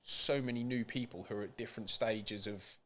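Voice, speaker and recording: A man with a British accent and a fairly low-pitched voice. He speaks candidly as a podcast guest, earnest and emphatic, often repeating a phrase to press it home. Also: fairly even intonation, a little fast, a bit bright. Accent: British English. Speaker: podcast guest, support group founder. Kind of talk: candid personal interview.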